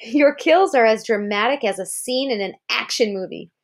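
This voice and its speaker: An American woman with a fairly high voice reads a children's article aloud. She tells it expressively, like a parent to a child. She breaks from the text to explain things simply and ask questions. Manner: expressive storytelling, pausing to check understanding with questions.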